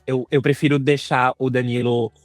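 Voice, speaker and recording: A man's voice: mid-range voice, little pitch movement, moderate pace, no room sound, studio quality, faint music behind. A man with a Brazilian accent in casual, personal podcast chat, speaking informally as one of the co-hosts. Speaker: podcast co-host.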